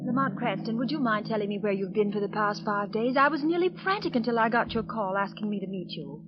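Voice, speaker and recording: A woman's voice: mid-range voice, lively intonation, fast talker, loud music behind the voice, close to an everyday voice. A woman playing an anxious young woman. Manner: anxious, questioning. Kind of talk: 1930s radio drama. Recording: dull old radio recording with noise.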